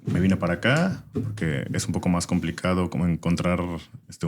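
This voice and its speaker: Young venezuelan-born guy, really low voice, lots of "este", faint hiss. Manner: relaxed, frequent "este" fillers. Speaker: podcast guest, former filmmaker. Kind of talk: casual interview chat.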